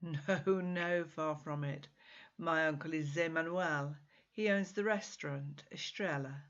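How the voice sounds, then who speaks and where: A woman's voice: deep voice, measured pace, fairly bright tone, lo-fi sound. A woman voicing a charming, confident Portuguese man in a story, courteous and flirtatious, with polished English. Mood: confident, chatty.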